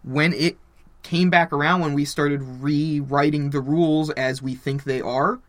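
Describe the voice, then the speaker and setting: Twenties guy going on, swearing, opinionated, voice a bit high for a man. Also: steady tone, a little slow. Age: 20s. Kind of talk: casual chat.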